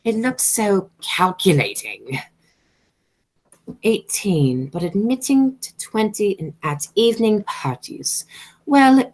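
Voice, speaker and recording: Low-pitched haughty aristocratic matron, acted by a woman. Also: heavily acted. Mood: measured condescension. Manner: pompous, formal, deliberate. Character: haughty, imperious aristocratic matron Lady Bracknell, coolly disapproving. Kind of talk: amateur radio play acting.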